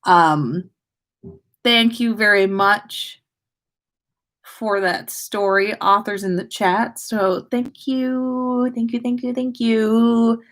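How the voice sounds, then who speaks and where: A woman's voice: a little high, steady tone, slow, a bit bright. A woman hosting a casual story livestream, reading aloud expressively and chatting with listeners. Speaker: podcast host reading listener fan fiction aloud.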